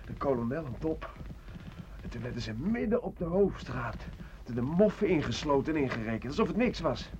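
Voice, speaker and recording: An actor playing a rough, talkative soldier turned drifter, world-weary and colloquial, in a Dutch radio play. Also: male voice, mid-range voice, very animated, a little fast, lightly acted. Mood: excited, gossiping. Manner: colloquial, hesitant, chatty storytelling. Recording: dull, noisy old radio recording.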